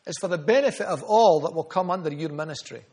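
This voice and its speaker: A man's voice: on the high side, quick pace, a little mellow, husky. A man preaching a sermon, earnest and storytelling, often repeating phrases for emphasis.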